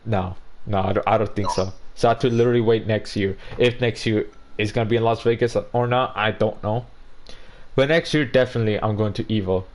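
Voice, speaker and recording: A man's voice: lowish voice, steady tone, a little fast, darkish tone, a little hiss or hum. Young man rambling in casual podcast chat. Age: 20s. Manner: rambling, repeats and restarts words. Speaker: podcast co-host.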